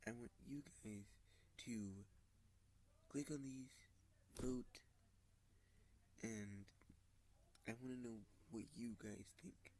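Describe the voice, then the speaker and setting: A man with a stuffy, sniffly voice talks slowly and haltingly in a casual vlog, often dropping to a soft, whispery tone. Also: medium pitch, rough recording. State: congested, sniffling. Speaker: model train channel host. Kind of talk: casual screen-recorded vlog.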